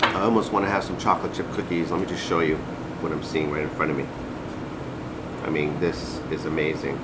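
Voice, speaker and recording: Low-voiced man vlogging over background noise. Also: little pitch movement, brisk. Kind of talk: casual chat to viewers. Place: home kitchen. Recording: noisy home recording, appliance hum.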